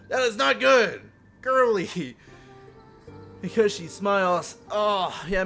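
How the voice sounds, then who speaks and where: A man live streaming a visual novel with casual, animated, self-deprecating and profane commentary. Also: sits high.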